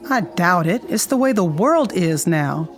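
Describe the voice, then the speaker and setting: A woman's voice: fairly low pitch, animated, measured pace, soft background music. A woman playing an easygoing middle-aged American suburban mother in naturalistic radio drama, chatty and folksy.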